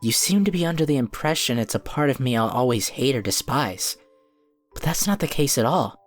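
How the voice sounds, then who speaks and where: A man playing a calm, diplomatic cookie wizard who speaks in an earnest, formal, measured way in a fan cartoon drama. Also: medium pitch, faint music behind, lightly acted. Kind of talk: scripted fan audio drama acting.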